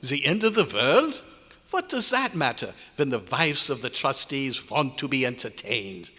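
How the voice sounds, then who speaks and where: A man's voice: medium pitch, sing-song, unhurried, sharp tone, slightly rough, exaggerated voice. A man playing an irritable, pompous German scientist in an old-time radio drama, declaiming with exasperation and a German accent. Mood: exasperated. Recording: echoey hotel hall live performance.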